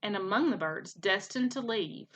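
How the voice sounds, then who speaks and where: A woman's voice: mid-range voice, expressive, moderate pace, slight background noise. A woman narrating a children's storybook expressively, like a teacher reading aloud.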